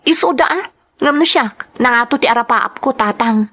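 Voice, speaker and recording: A woman's voice: on the high side, normal speed, fairly bright tone. Woman acting a discontented, defiant young wife. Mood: defensive, defiant. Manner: complaining, insistent. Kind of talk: radio drama acting.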